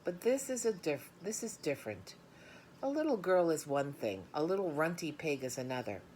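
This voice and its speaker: A woman voicing a farmer father in a storybook, speaking gently, patiently and firmly. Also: low-pitched, sing-song, normal speed, slight background noise, voice pushed up. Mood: tender, relenting.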